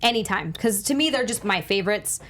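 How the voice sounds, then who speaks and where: A woman's voice: medium pitch, animated, fairly quick, a bit bright. A woman chatting casually as a panelist on a movie news talk show. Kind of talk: casual chat.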